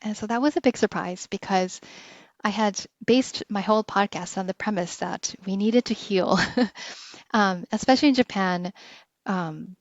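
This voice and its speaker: A woman of middle pitch hosts her podcast in a casual, reflective monologue. She talks earnestly, pausing on "um"s and slipping in small laughs. The recording is close, dry and a little dull, with the treble rolled off. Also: no room sound. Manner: earnest, with frequent "um"s and soft laughs. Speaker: podcast host.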